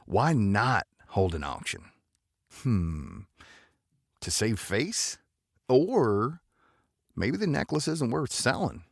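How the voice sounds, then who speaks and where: A man playing a gravelly, courtly space cowboy. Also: medium pitch, very animated, slow, pauses often, no echo, clean studio sound, full character voice. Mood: musing. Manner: drawling folksy cowboy idiom, gentlemanly.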